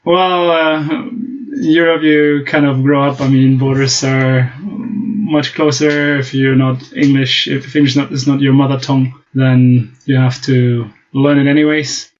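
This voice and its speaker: German guy speaking english, flat even voice, lots of uhs, dull lo-fi audio. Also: medium pitch, unhurried, few pauses. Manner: hesitant, many fillers and restarts. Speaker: guest. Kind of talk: casual interview talk.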